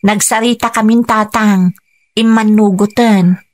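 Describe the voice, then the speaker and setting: A woman's voice: mid-range voice, fairly even intonation, unhurried, lightly acted. A woman playing an earnest, determined young daughter in a Philippine radio drama, speaking persuasively over music.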